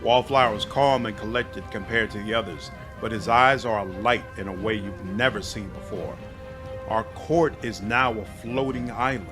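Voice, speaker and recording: A man's voice: lowish voice, normal speed, over music. A man reading scripted story narration for a fantasy video game.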